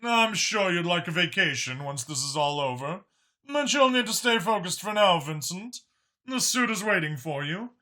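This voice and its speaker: A man voicing a powerful, patient dragon senator who explains things in a measured, self-assured way. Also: high-pitched, lively intonation, sharp tone, pitched above the performer's own voice. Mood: reassuring.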